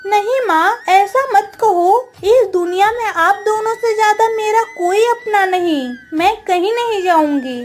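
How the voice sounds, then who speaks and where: A woman plays a young village girl from a cartoon story, with a very high voice and slow, careful delivery. She sounds devoted, earnest and pleading. Faint music plays underneath. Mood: tearful devotion. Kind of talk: Hindi cartoon story dubbing.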